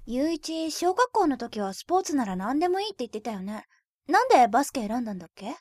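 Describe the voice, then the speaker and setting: Woman acting high-pitched, sharp-tongued teenage girl. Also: sing-song, fast talker, no room sound, clean studio sound. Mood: dry teasing. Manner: blunt, tsundere-like, sardonic. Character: sharp-tongued teasing high-school girl, older friend. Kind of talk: Japanese youth romantic-comedy voice drama.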